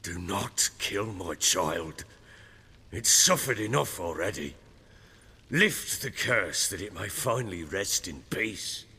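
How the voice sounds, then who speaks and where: An actor playing a grief-stricken, guilt-ridden lord in a video game, bitter and hot-tempered, with a coarse, dramatic delivery. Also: male voice, low-pitched, animated, slow pace, pushed and bright, husky, long reverb tail, exaggerated voice. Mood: grim, pleading. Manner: anguished, dramatic, coarse-mouthed.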